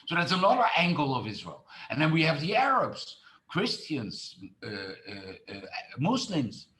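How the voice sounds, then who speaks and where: A man's voice: mid-range voice, a bit bright. A middle-aged man from the Netherlands, a tourism marketing expert who speaks with many fillers and restarts in an online presentation.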